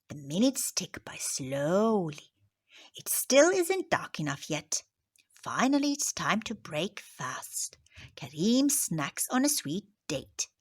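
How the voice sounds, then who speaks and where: Slow, animated woman reading to children. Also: fairly low pitch, slightly rough. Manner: slow, expressive, drops into whispers on headings and asides. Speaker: teacher reading a picture book. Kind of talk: children's story read-aloud.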